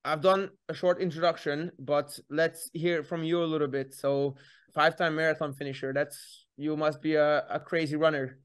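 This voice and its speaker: A man's voice: fairly high pitch, steady tone, moderate pace, smooth. A man from Hungary hosting a podcast in a casual, friendly way, rambling a little and restarting his sentences. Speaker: podcast host. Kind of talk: casual interview chat.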